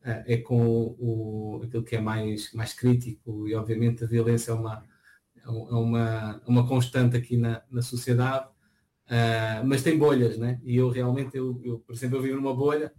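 A middle-aged man from Portugal with a European Portuguese accent, answering interview questions in a relaxed way, often hesitating and restarting his sentences. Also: medium pitch, normal speed, a little hiss or hum. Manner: hesitant, many restarts and fillers.

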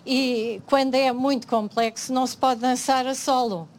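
A woman's voice: high-pitched, steady tone. Hesitant Portuguese woman on a panel. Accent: European Portuguese. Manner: halting, many restarts and "eh" fillers. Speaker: panel speaker, food scientist. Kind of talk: panel discussion.

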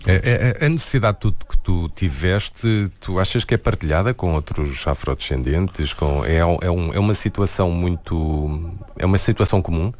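A man interviewing a guest in a studio, asking questions in halting starts. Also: low-pitched, darkish tone. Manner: halting, restarts his questions.

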